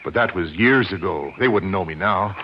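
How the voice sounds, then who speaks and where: An actor playing an earnest, determined young American avenger, delivered with the dramatic polish of 1950s radio acting. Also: male voice, sits low, normal speed, natural voice. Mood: resolute. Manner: resolute, dramatic. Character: determined young man avenging his murdered father, earnest. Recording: muffled, hissy old 1950s radio recording.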